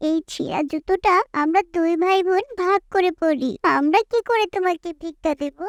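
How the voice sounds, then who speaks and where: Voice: extremely high pitch, moderate pace, no room sound, studio quality. A performer voicing a poor little sister in a moral cartoon, sweet, sensitive and earnest in a childlike way. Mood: sad, plaintive. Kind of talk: Islamic moral cartoon dialogue.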